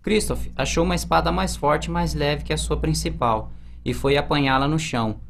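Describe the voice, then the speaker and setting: A man's voice: a little high, normal speed, gravelly, a little hiss or hum. A young man with a Brazilian accent reading scripted narration for an amateur radio drama. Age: young adult. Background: music.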